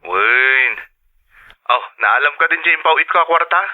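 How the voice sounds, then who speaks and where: An actor playing a tired, prudent working husband abroad in an Ilocano radio drama, heard through a telephone filter. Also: male voice, a little high, animated, a little slow. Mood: weary. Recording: thin, narrow telephone-like sound.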